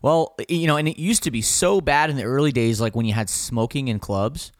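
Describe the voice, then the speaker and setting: A man talks frankly and a little rambling about his band, often circling back to restart a thought. He sits in a dry, close-sounding space. His pitch sits at an everyday middle level. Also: fairly quick, no room sound. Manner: rambling, self-correcting, frank. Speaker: band vocalist guest. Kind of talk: casual interview conversation.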